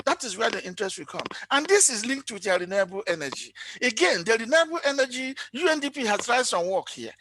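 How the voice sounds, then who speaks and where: Animated speaker making an earnest conference point. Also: mid-range voice, a little hiss or hum. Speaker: forum participant, economist. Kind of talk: unscripted conference intervention. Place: remote online conference call.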